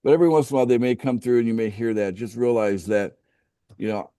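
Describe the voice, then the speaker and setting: A middle-aged American man from the West Coast, pitching a business opportunity on a casual group call, often restarting sentences and asking the group for agreement. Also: steady tone, fast talker, dark tone, clear, resonant tone, no echo, studio quality. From United States, West Coast. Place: outdoors by a home pool.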